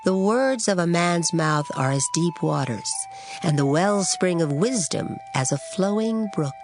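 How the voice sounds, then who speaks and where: Lowish, expressive woman reading scripture over music. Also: a little slow. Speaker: scripture narrator. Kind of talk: dramatized King James Bible reading. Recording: music under the voice. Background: background music.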